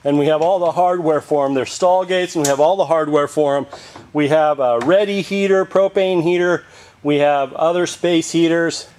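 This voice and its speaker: A man's voice: on the high side, moderate pace, a little mellow. A man walking through an auction warehouse, promoting the sale by rattling off long lists of tools and antiques. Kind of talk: walk-through sales promo.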